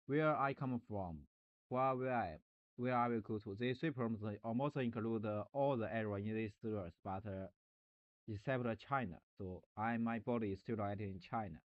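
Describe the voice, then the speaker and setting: A man streaming a rambling philosophical monologue, halting and searching for words, often restarting and repeating himself. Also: mid-range voice, no room sound, dull audio.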